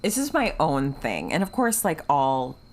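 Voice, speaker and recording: Low-voiced, emphatic woman. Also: sing-song, unhurried. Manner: emphatic, gushing, profane. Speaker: book club podcast co-host. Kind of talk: casual book chat.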